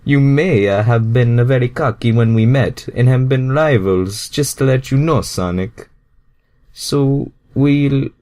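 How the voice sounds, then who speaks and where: Man putting on an excitable plumber, pitched up. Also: a little slow, lightly acted. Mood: friendly, eager. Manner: exclaiming, astonished, stilted phrasing.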